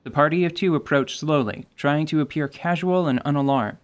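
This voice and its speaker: A narrator describing action in a fantasy radio drama with even, descriptive delivery. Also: mid-range voice, fairly quick.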